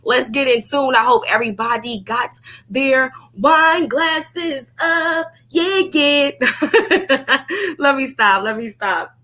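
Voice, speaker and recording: Bright, high-voiced New York woman hosting. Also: expressive, slow. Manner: hyping, repeats her phrases. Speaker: host of a live show. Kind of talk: casual live chat.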